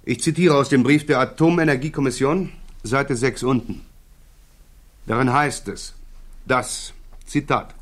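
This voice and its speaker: A man's voice: medium pitch, a bit bright. An actor playing an insistent male prosecutor in a radio play, pressing, precise and formal. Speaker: interrogating counsel. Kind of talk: German radio play acting.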